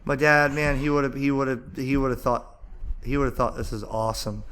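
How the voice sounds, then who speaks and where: A man talking casually and excitedly as an amateur radio vlogger, chatty and rambling as he thinks aloud. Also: mid-range voice, normal speed, a little hiss or hum. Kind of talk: casual enthusiastic vlog commentary, browsing and narrating.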